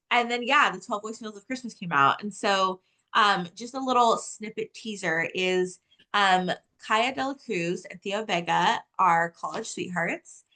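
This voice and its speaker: Chatty woman with a casual flow. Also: medium pitch. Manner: animated storytelling, heavy use of "like". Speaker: author guest. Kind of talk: casual interview chat.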